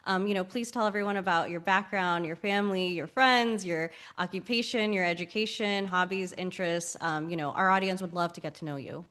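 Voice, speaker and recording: A woman hosting an interview, earnest and warm toward her guest, with many fillers. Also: on the low side, fairly even intonation, a little fast, hardly any pauses. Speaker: podcast host.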